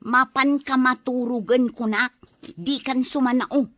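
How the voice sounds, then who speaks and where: A woman's voice: high voice, fairly even intonation, unhurried, a bit bright. A woman with an Ilocano accent playing a fed-up wife who nags her drunken husband in an audio drama. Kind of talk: Ilocano radio-style drama acting.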